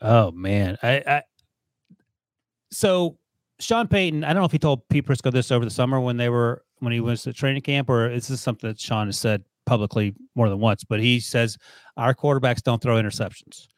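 A man talks football on a podcast in a casual, rambling way. He restarts sentences and chuckles along as he goes. His mid-pitched voice is recorded close and dry. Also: brisk, no room sound. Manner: rambling, self-correcting, chuckles while talking. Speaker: podcast co-host. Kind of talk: casual sports analysis chat.

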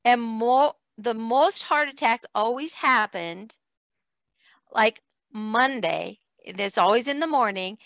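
Female talk show host, bright forceful voice, close dry sound, emphatic motivational delivery in conversation. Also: on the high side, slow pace, gravelly, dry recording. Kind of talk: conversational interview hosting.

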